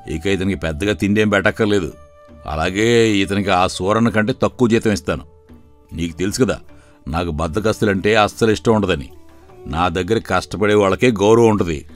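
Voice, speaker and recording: A man playing a stern but credulous landowner in an animated moral story, speaking with authority. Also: deep voice, natural voice.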